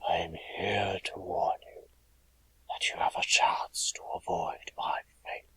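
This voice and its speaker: A man playing a mournful, solemn ghost in a radio drama, speaking in halting breathy whispers. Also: low-pitched, flat delivery, a bit bright. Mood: grave warning. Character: mournful male ghost of a dead businessman, warning his friend.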